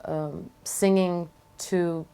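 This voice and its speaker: A woman from Venezuela speaking reflectively in an interview as a singer-songwriter, thoughtful and halting, often pausing mid-phrase. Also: lowish voice, steady tone, vocal fry, warm tone, smooth.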